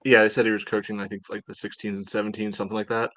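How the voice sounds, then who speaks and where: A man's voice: lowish voice, little pitch movement, rapid-fire, fairly bright tone, no echo. A man co-hosting a casual sports talk show, hesitant and thinking aloud as he goes. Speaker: sports podcast co-host.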